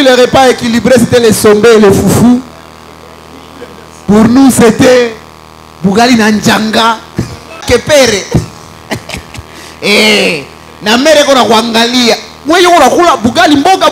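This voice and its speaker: A man's voice: extremely high pitch, a bit bright, a little hiss or hum, rough recording. A man hosting a radio game show, playful and given to chanting words. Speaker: show host. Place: radio studio with audience.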